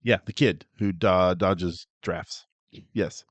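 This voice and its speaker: A man's voice: sits low, slow pace, no echo. A man co-hosting a casual film review chat, bantering in a dry, teasing way. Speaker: podcast co-host.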